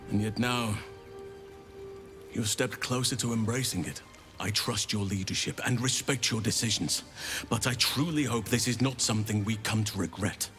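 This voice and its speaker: An actor playing a gentle, solemn druid in a video game, speaking in a measured, earnest, poetic way. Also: male voice, mid-range voice, close to an everyday voice. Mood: solemn, concerned. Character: gentle, solemn male druid who loves nature. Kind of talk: scripted video game dialogue.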